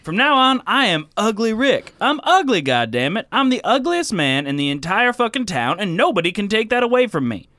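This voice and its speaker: A man's voice: high-pitched, very animated, a little fast, hardly any pauses, sharp tone, dry recording, heavily acted. A man playing a cowardly small-town western sheriff in his thirties with a folksy, defensive, profane manner in a comedic role-play. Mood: indignant.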